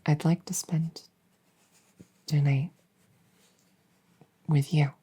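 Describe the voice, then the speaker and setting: Breathy, hushed young princess, acted by a woman. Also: deep voice, little pitch movement, heavily acted. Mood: earnest, soft. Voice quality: soft, breathy, often whispering. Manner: slow, hushed, deliberate, coy pauses. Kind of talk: intimate roleplay audio drama, ASMR-like.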